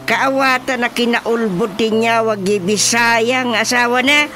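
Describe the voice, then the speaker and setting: A Filipino man playing an indignant, scheming village husband in a radio comedy drama, grumbling in a drawn-out, animated way. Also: very high-pitched. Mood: insistent. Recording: music bed under the dialogue.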